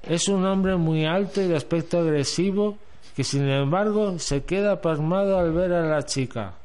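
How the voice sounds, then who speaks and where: A man's voice: fairly high pitch, moderate pace, darkish tone, clean tone. A man reading scripted storytelling narration as the narrator of an amateur radio drama. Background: music.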